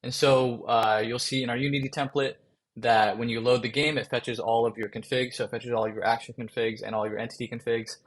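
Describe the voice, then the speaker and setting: A man presenting a software workshop, talking casually through a screen-share with many fillers, his voice getting rough after a long day of calls. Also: medium pitch, little pitch movement, a little fast. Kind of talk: screen-share coding tutorial.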